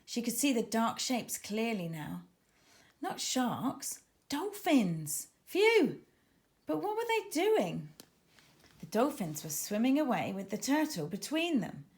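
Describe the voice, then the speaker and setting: A woman reading her children's book aloud as a dramatic, suspenseful and expressive narrator. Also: on the high side, slow. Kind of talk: expressive scripted story narration.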